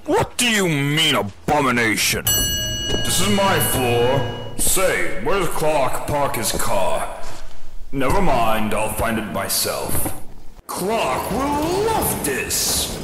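A bombastic, cheerfully clueless superhero, acted out by a man for a comic dub. He swings widely in pitch and takes his time, with dramatic flourishes. Long reverb surrounds him and music plays behind. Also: huge pitch swings, takes it slowly. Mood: breezy, oblivious. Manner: grandiose, dramatic delivery. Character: big, cheerful, oblivious, bombastic blue superhero. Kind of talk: fan comic-book dub.

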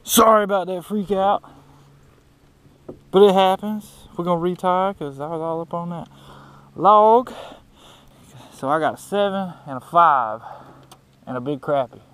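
An excitable man with a Southern US accent who repeats himself, chatting casually on a fishing vlog from a small open boat. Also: high voice, unhurried, pauses often, clear, resonant tone. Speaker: fishing vlogger. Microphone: action camera, worn or mounted nearby. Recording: outdoor action camera with background noise.

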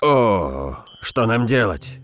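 A man playing a kindly, obliging grandfather in a dubbed children's cartoon, with a gentle, expressive delivery. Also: lowish voice, drawn-out words, soft background music, exaggerated voice. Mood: hesitant, gentle.

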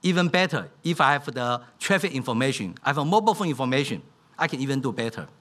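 A man's voice: mid-range voice, sing-song, quick pace, fairly bright tone. A middle-aged man giving a keynote speech from a podium in a conference hall, speaking in simple. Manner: non-native English, simplified grammar. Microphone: podium microphone.

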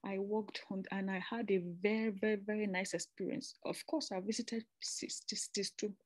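A woman's voice: mid-range voice, level tone, moderate pace, no room sound. A young woman from Nigeria giving an informal slide presentation, chatty and conversational, often restarting phrases with asides. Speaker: presenter explaining a master's program.

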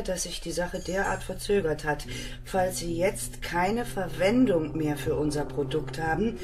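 Scatterbrained middle-aged woman, theatrical, lowish and unhurried, played by an actress for a children's radio play. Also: natural voice. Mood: reading formally. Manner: dithering, self-addressing, exclaiming.

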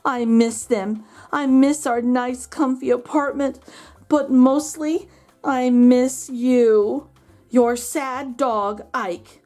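A woman's voice: high-pitched, fairly even intonation, slow pace, darkish tone. A woman voicing a self-pitying, melodramatic dog from a storybook, pleading and stretching out words theatrically. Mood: forlorn. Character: melodramatic, self-pitying male dog writing letters home.

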